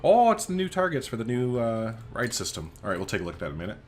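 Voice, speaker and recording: A man's voice: mid-range voice, wildly swooping pitch, very fast, darkish tone. A man hosting a gaming video, rambling casually and thinking aloud as he plays. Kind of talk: casual game commentary.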